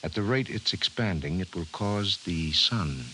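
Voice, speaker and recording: Casting: grave professor, vintage radio drama. Lowish, expressive voice, precise formal diction, faint tape hiss. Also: male voice, heavily acted, low-quality recording. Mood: grave, certain. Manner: measured, formal, emphatic delivery. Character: grave, precise male scientist, a government professor. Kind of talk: 1950s radio drama acting. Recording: hissy 1950s radio recording.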